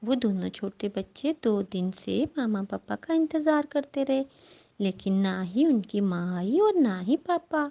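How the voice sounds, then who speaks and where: A woman's voice: mid-range voice, animated, measured pace, vocal fry, dull audio. A woman narrating a scripted children's story as the storyteller.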